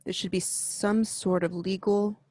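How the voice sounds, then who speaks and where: Brief: woman as an earnest young heroine, unhurried, dry home mic. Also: mid-range voice, flat delivery, darkish tone, no echo, natural voice. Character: earnest young female crimefighter.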